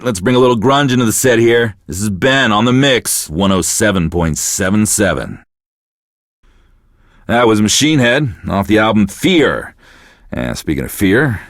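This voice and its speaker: A sad-sack middle-aged male DJ for a game radio station. His low voice sits in a dry studio sound, and he delivers bitter, deadpan banter that keeps sliding into self-pity. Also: no room sound. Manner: deadpan, sardonic, self-deprecating. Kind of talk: radio show hosting, comic self-pitying banter.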